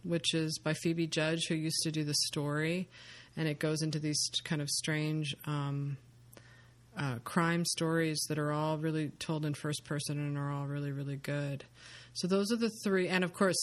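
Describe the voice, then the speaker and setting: A woman hosting a podcast, chatting casually and enthusiastically, rambling with many fillers and restarts. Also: sits low. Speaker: podcast host.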